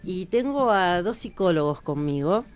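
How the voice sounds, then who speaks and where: A woman with a Rioplatense Argentine accent and a slightly low voice hosts a radio segment. She talks casually, introducing and questioning guests at a studio table. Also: expressive, moderate pace. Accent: Rioplatense Argentine Spanish. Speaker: radio columnist hosting a diversity segment.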